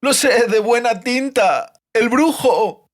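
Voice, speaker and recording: A man's voice: very high voice, takes it slowly, voice pushed up. A man voicing a terrified captive bard in an audiobook, breathless and whimpering as he pleads and rambles through frantic denials. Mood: tearful pleading.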